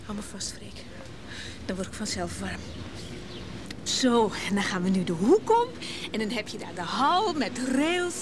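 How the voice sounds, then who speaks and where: A woman's voice: mid-range voice, animated, measured pace, pauses often, noisy background, lightly acted. An actress playing a nervous, wistful, newly widowed woman who halts, stammers and repeats words in a naturalistic radio drama. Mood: wistful, nostalgic.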